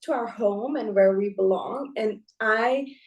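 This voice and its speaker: A woman co-hosting a podcast, speaking in an emphatic, heartfelt way with quick asides and a frequent "right?". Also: medium pitch, a little mellow, lo-fi sound. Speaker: podcast co-host. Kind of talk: casual conversation.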